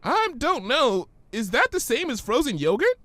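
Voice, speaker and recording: A man's voice: extremely high pitch, wildly swooping pitch, normal speed, a bit bright, dry recording, voice pushed up, exaggerated voice. A man voicing a carefree, giggly young female cartoon pony in a breezy, chirpy, exclamatory way. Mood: cheerfully oblivious.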